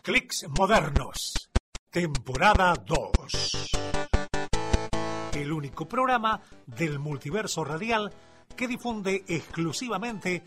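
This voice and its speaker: Slow, high-voiced male announcer over loud guitar. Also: lively intonation. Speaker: radio announcer. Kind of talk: scripted show intro. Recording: loud guitar music bed.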